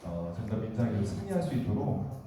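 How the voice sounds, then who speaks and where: A man's voice: lowish voice, very animated, fast, noisy background. A man giving a lecture to an audience, talking conversationally and often asking the room questions. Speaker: guest lecturer. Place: meeting hall. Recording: echoey hall from far off.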